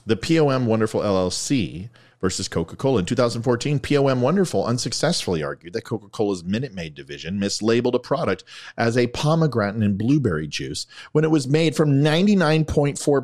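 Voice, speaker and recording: A man's voice: mid-range voice, expressive, brisk, a little mellow. A man reading out facts in a commentary video, adding offhand jokey asides and stumbling over foreign names.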